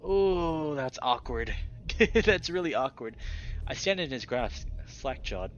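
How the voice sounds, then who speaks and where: A man reading a visual novel aloud and commenting casually as a video host, expressive when he reacts. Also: medium pitch, takes it slowly. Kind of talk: casual let's play commentary and narration. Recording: slightly dull recording with background music. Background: game music.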